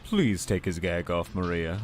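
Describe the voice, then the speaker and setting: A man playing a calm, commanding cult lord in an audio drama, with a measured, polite and pious manner. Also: sits low, background noise. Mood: calm authority.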